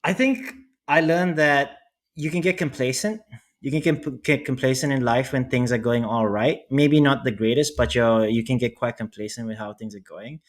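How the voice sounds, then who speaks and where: A man's voice: medium pitch, normal speed. A young man co-hosting a casual business podcast, speaking earnestly and reflectively, often repeating and rephrasing. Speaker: podcast co-host.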